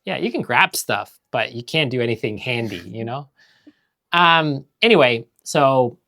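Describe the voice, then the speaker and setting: A man from Finland in his thirties, hosting a family vlog in relaxed, casual chat with fillers. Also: medium pitch, measured pace, vocal fry. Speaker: vlog host.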